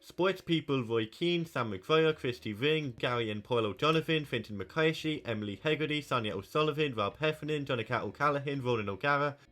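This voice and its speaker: A man from County Cork with a Cork Irish accent, reading a scripted geography explainer in a brisk, informative way and running through long lists of names. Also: medium pitch, a bit bright, soft background music. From Ireland, County Cork. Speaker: geography YouTuber. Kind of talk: scripted educational narration.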